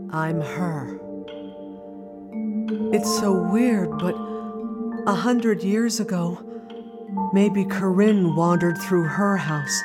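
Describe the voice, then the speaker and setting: An actress playing a reflective, lonely woman narrating memories of her childhood in an audio drama. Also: lowish voice. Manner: wistful, deliberate. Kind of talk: scripted first-person dramatic narration.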